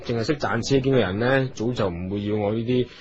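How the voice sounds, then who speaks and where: A man's voice: mid-range voice, fast, a little mellow, close to an everyday voice. An actor playing a timid, anxious male performer in a comedic radio drama, hesitant and apologetic, with a Hong Kong Cantonese accent. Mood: meek, apologetic. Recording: slightly muffled radio sound with music.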